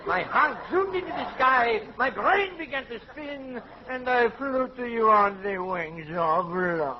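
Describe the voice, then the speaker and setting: A very high, swooping voice for a florid French count, full of melodrama and cowardly bluster. It is 1940s radio comedy with a French accent, delivered slowly on a dull old recording. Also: male voice, lively intonation, very noisy. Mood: florid, gushing. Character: flamboyant, cowardly French count, gushing suitor.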